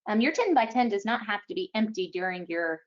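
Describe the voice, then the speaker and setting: Female official, medium pitch, informal explanatory webinar delivery with examples, slightly dull sound. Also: expressive, a little fast. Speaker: contest official answering questions.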